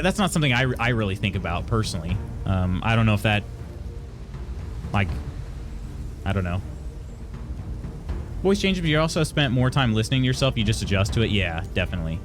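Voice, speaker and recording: A young adult man streaming live, reading out chat messages and answering them in a halting, chatty way with frequent restarts. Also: mid-range voice.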